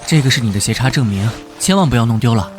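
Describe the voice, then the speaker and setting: A male actor voicing an earnest, good-natured, easily flustered police detective in an animated drama. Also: mid-range voice, fast, music in the background, lightly acted. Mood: conciliatory. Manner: conciliatory, earnest.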